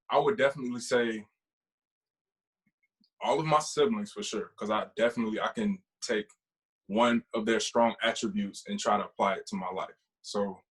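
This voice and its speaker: A man in his early twenties, an educator answering an interview question in a measured, thoughtful way. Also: fairly low pitch, rough recording. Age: 20s. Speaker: educator, guest.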